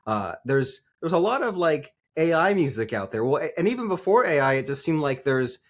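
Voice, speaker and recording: A man's voice: fairly high pitch, very animated, a little fast. A man hosting a podcast interview, asking long rambling questions full of "like" and "you know". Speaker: podcast host. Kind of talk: casual interview chat.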